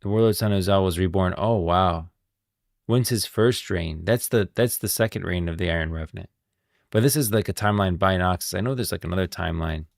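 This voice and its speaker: A man's voice: low voice, fairly quick, creaky, no echo, studio quality. A man streaming casual game lore commentary, rambling and thinking aloud as he goes, often repeating himself. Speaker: streamer, host.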